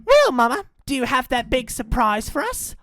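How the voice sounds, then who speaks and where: A woman's voice: sits high, sing-song, normal speed, a bit bright, no room sound, studio quality, pitched above the performer's own voice. A woman voicing young kangaroo joeys in a children's story, eager and childlike, with an exclamatory delivery. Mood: excited. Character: little kangaroo joeys, excitable, trusting children. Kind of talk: children's story character acting.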